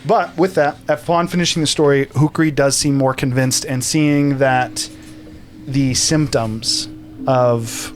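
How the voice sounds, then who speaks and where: A man's voice: medium pitch, fairly even intonation, slow. A man working as game master, narrating an improvised tabletop roleplaying story in a conversational way and explaining events to his players. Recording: music behind the voice.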